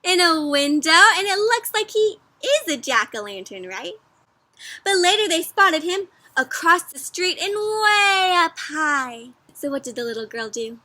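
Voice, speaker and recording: Lady reading a picture book to little kids, high bright voice, asks lots of questions, close dry sound. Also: measured pace, no echo. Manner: animated, teacherly, prompting young listeners with questions. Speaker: read-aloud host, teacher for young children. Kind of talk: interactive storybook read-aloud for kids.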